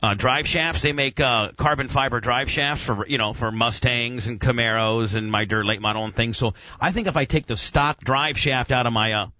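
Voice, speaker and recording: A man with a forceful, bright voice chats casually as a radio host. He rambles with a gearhead's enthusiasm, piling up jargon and the odd mild curse. The sound is very dry and close, like a studio microphone. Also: medium pitch, normal speed, hardly any pauses, dry recording. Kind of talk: casual motor-head radio chat.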